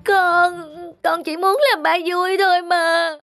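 A woman voicing a small, loving, contrite cartoon boy with a thin, halting voice. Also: very high voice, little pitch movement, vocal fry, fairly bright tone, heavily acted. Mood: tearful, sorry. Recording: music behind the voice.

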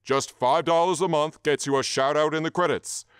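A man's voice: on the high side, steady tone, normal speed, a bit bright, smooth, no room sound, clean studio sound. A man reading a scripted promotional announcement as a show's announcer.

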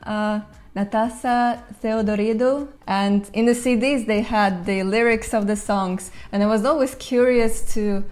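A young woman from Latvia, a native Russian speaker, answering viewers' questions in English while seated in a bright room. She talks in a thoughtful, easygoing way with light laughs now and then. Her voice is picked up close by a clip-on mic. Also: a little high, fairly even intonation, measured pace. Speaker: language channel host. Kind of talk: casual Q&A to camera. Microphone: lapel mic.

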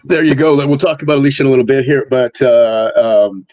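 A man's voice: mid-range voice, a little fast, darkish tone, no room sound. A man in his forties hosting an interview over a video call from home, upbeat and encouraging. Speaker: podcast host. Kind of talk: casual interview. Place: home room. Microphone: video call webcam. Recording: dull, dry webcam audio.

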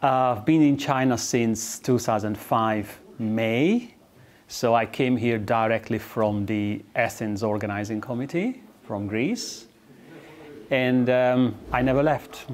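A man's voice: medium pitch, slow. Middle-aged man, earnest. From Hungary. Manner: earnest, upbeat, with small restarts. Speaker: interviewee, Olympic organizing expert. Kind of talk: relaxed interview talk. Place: indoor office. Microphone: lapel mic.

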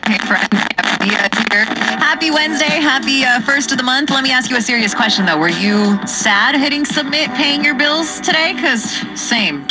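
A woman with a high voice delivers chatty, playful DJ patter to listeners. She sounds upbeat and friendly. Loud music runs behind her, all coming from a radio. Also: moderate pace, poor audio.

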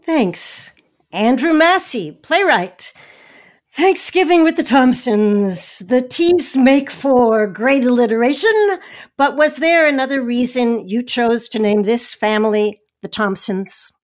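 A woman's voice: a little high, lively intonation, slow pace. A woman hosting a conversational radio interview. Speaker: host.

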